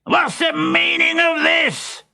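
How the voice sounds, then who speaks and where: Man acting a blustering, high-pitched old miser. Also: flat delivery, slow, creaky, sharp tone, full character voice. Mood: outraged. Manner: spluttering, indignant, bossy. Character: blustering, miserly old father Pantalone, easily outraged. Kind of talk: children's storybook voice acting.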